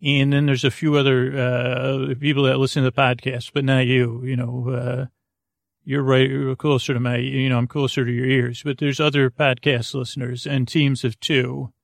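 Creaky gravelly guy doing a rambly sleep podcast, hesitant, wanders off on tangents, real dry close mic. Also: mid-range voice, little pitch movement, moderate pace, no room sound. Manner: hesitant, meandering, full of tangents. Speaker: podcast host. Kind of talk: rambling improvised bedtime monologue.

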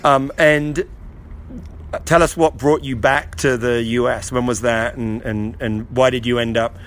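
A man interviewing a rugby player in a casual way, halting with frequent ums. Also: mid-range voice, steady tone, fairly bright tone, a little hiss or hum.

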